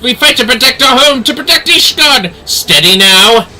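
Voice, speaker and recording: A man's voice: very high voice, lively intonation, normal speed, sharp tone, pitched above the performer's own voice, exaggerated voice, poor audio. A man voicing fervent fantasy knight commanders in a video game, declaiming urgent battle cries to rally troops. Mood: rousing, defiant.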